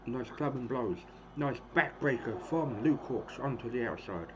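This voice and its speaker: A hoarse man in his thirties casually calling the action of a wrestling game he is streaming, rambling and clearing his throat often. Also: mid-range voice, animated, moderate pace. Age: 30s. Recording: dull lo-fi stream audio with clear noise.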